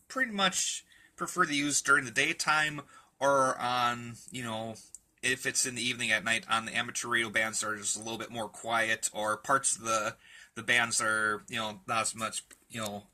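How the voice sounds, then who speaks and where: Guy showing off his hobby stuff on a handheld cam, really bright edgy voice, mid pitch, kinda hunting for words. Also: steady tone, moderate pace. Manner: hesitant, searching for words. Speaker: hobbyist video host. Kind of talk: casual hands-on collection tour, off the cuff. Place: indoor room with shelves of radios. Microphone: handheld camera mic, behind the camera.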